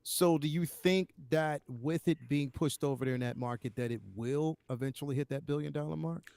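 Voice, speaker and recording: A man's voice: a little high, moderate pace, creaky, a little mellow, clear, resonant tone, no room sound. A man co-hosting a talk show, discussing things casually as a fan and putting questions to the host.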